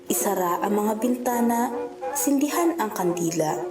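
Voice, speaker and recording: A woman's voice: medium pitch, animated, moderate pace, recorded from a distance. A woman with a Filipino accent hosting radio with a scripted, dramatic introduction. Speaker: radio host. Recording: roomy echo, loud music behind.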